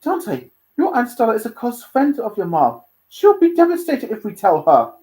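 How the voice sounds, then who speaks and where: A woman's voice: on the high side, wildly swooping pitch, moderate pace, warm tone, voice pushed up. A woman voicing a theatrical, fussy demon prince, a protective uncle, in a story reading. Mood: shocked, worried.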